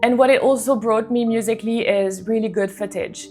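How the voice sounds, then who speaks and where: A woman's voice: a little high, steady tone, a little fast, a little mellow. Chatty young woman over faint music. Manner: chatty, earnest, many "like" fillers. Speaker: vlogger, cruise ship musician. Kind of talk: casual talking-to-camera vlog.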